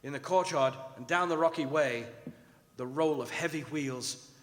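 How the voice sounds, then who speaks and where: A man playing a desperate young English gentleman prisoner, narrating his diary with dramatic dread in a radio drama. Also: fairly high pitch, normal speed, very noisy, close to an everyday voice. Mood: haunted dread. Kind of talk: old-time radio drama acting on stage. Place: performing arts center stage. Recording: echoing theatre hall, music behind.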